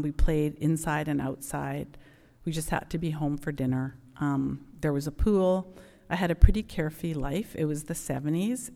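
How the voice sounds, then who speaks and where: Low, gravelly woman giving church testimony. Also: dark tone. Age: middle-aged. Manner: conversational, frequent ums, reflective. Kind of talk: personal testimony. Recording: long church reverb.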